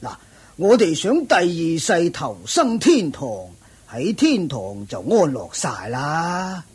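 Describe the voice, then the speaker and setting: An actor playing a proud older ascetic master in a radio drama, with a husky, raspy voice, a drawling self-satisfied manner. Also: male voice, on the high side, big pitch swings, slow pace, heavily acted. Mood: proud, unhurried. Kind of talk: Cantonese radio drama acting.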